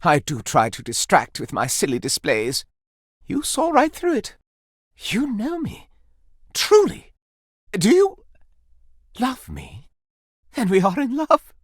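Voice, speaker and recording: A man voicing a flamboyant, lustful antique candelabra in a video game, with a pompous, florid, melodramatic delivery full of breathy swoons and purrs. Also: high-pitched, huge pitch swings, slow pace, long pauses, no echo, clean studio sound, heavily acted. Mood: tender. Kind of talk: video game character acting.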